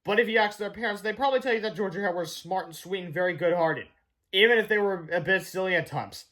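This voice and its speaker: Male children's book reader, notably high-pitched, expressive storyteller with emphatic delivery. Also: fast talker. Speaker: read-aloud reader. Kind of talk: children's book read-aloud narration.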